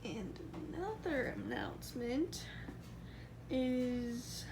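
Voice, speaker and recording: A woman's voice: on the high side, lively intonation, extremely slow, vocal fry. A woman vlogging casually from her backyard and home, chatty and giggly, rambling as she goes. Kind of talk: casual vlog chat. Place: backyard outdoors, then indoors. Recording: noisy home video recording.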